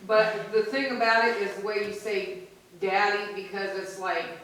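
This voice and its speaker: A woman's voice: mid-range voice, fairly even intonation, fairly bright tone. Woman's heartfelt memories, echoing church. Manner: heartfelt, rambling, halting. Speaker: mourner sharing memories. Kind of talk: informal memorial remarks. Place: church sanctuary. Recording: echoey room, mic far off.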